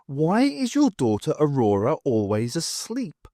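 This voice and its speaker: A man voicing a brisk, commanding adult woman heroine in an audiobook reading. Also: a little high, huge pitch swings, warm tone, dry recording, studio quality, exaggerated voice.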